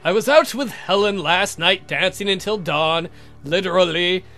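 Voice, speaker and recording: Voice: medium pitch, normal speed, fairly bright tone, soft background music, close to an everyday voice. A woman voicing a polite, restrained male vampire count with dry delivery, for a visual novel game. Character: wry, courteous male vampire count, young suitor.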